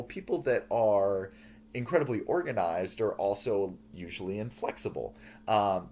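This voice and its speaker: A male professor with a loud, booming voice, giving an earnest lecture on feedback with lots of "uh" and "um" fillers. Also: a little hiss or hum. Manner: earnest, lively, frequent "uh" and "um" fillers.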